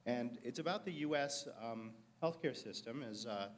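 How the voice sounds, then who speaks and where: A man opens a conference lecture at a middling pitch. He speaks in a relaxed way and fills his pauses with ums and uhs. Faint room noise sits behind his voice. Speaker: guest lecturer. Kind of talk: academic lecture.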